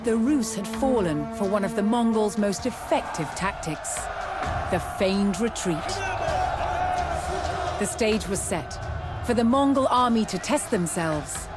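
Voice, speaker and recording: A woman reads scripted documentary narration at a slow, deliberate pace. Her mid-pitched voice carries a measured sense of drama, like a storyteller setting out history. Music plays clearly behind her. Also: lively intonation, a crowd in the background. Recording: music under the voice. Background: background music.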